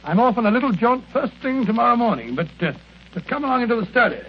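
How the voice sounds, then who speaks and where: High-voiced, blustery old English doctor. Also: male voice, expressive, fast, husky, heavily acted. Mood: genial. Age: older. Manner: chuckling, stammering, rambling. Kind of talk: 1940s radio acting. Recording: muffled, hissy 1940s radio recording.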